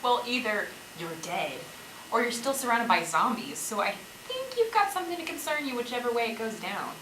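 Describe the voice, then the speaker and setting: A woman's voice: on the high side, big pitch swings, a little fast, pushed and bright. A woman acting in a stage play as a worried, teasing companion keeping watch among zombies. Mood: probing, uneasy. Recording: echoey stage, clear background noise.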